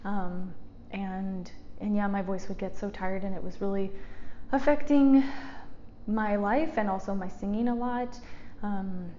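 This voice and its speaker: A chatty young woman talks to her viewers through a desk mic at home. She rambles, laughs a lot, and speaks in a soft, resonant voice. The sound is a little dull, with a faint background hiss. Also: medium pitch, fairly even intonation, a little slow. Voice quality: soft resonant speaking voice after speech therapy. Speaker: YouTuber. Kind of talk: chatty get-ready-with-me vlog. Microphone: desk mic in front of her.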